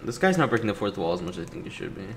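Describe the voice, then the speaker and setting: A man's voice: fairly low pitch, sing-song, rapid-fire, fairly bright tone, slight background noise. A man giving casual, joking playthrough commentary, reacting to characters and reading captions aloud as host. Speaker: gaming video host. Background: video game music and sound.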